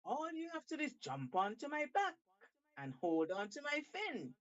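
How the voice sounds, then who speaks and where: A woman's voice: high voice, huge pitch swings, moderate pace, muffled recording, voice pushed up. A woman voicing an eager, persuasive male shark in a storybook tale, coaxing and emphatic.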